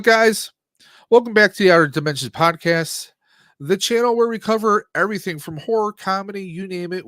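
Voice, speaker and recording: A man's voice: fairly high pitch, a bit bright, no echo. Casual male podcast host, dry close sound. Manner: informal, jokey asides. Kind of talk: casual livestream chat about movies and games.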